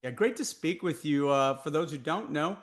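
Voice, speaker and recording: A man's voice: on the high side, animated, normal speed. A man with a Texas accent hosting a podcast interview, asking long conversational questions. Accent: Texan. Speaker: podcast host.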